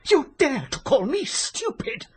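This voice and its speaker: An actor playing a vain, obstinate murderer in a British radio drama, with a shrill, emphatic, affronted manner. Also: male voice, wildly swooping pitch, unhurried, exaggerated voice. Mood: outraged. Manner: self-important, shrill, emphatic.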